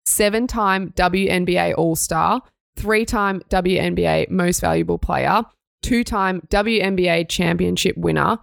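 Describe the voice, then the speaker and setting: A woman's voice: lowish voice, measured pace, creaky, dry recording, studio quality. A young Australian woman co-hosting a sports news podcast, reading stories and chatting in an upbeat way. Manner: upbeat, reads news items then chats. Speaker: podcast co-host.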